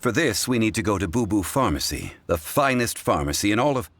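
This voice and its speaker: A man voicing a composed, courteous, scholarly gentleman in a video game, speaking in measured, formal, old-fashioned phrases. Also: lowish voice, big pitch swings, no room sound, heavily acted. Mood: courteous, explaining. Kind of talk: scripted video game dialogue.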